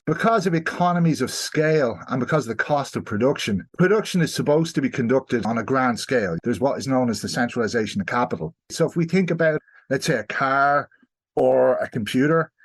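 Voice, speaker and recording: An Irish man talks as the expert guest on a history podcast. His manner is measured and academic, and he reworks his phrasing as he explains. His pitch sits in an ordinary middle range. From South Kildare, Ireland. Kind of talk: explanatory interview talk.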